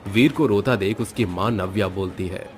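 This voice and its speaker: A man's voice: on the low side, moderate pace. A man narrating a scripted horror story as its storyteller. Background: faint background music.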